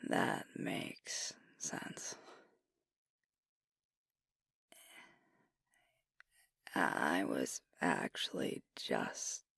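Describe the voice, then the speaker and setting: A woman playing a troubled, affectionate alpha werewolf girlfriend in an ASMR roleplay, speaking softly and breathily in a halting, confiding way. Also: very deep voice, no room sound, clean studio sound, full character voice. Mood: hesitant, tender. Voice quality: breathy, soft, often whispered. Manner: halting, confiding, weary and vexed. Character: frustrated, affectionate female alpha werewolf girlfriend, a stressed leader.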